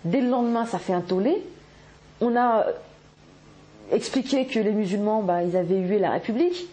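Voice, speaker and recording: A woman's voice: medium pitch, moderate pace, warm tone. A woman talking casually in an interview, with loose fillers. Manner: casual, fillers like "bah" and "machin".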